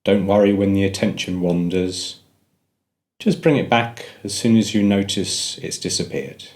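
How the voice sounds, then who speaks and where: Low voiced guy doing guided meditation, gentle n measured, leaves pauses. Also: fairly even intonation.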